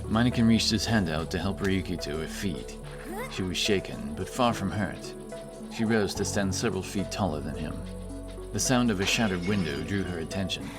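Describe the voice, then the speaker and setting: A man narrates a fantasy audiobook in a deep voice. His scripted delivery is dramatic storytelling, with music clearly playing behind him. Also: expressive, normal speed.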